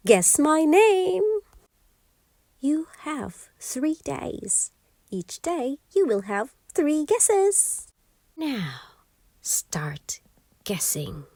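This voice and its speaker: Storybook goblin imp, male, played by a woman: little, sly and gleeful, sing-song bargaining that swoops up high, slow and drawn-out, dry close recording. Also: big pitch swings, creaky, dark tone, dry recording, full character voice. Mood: taunting.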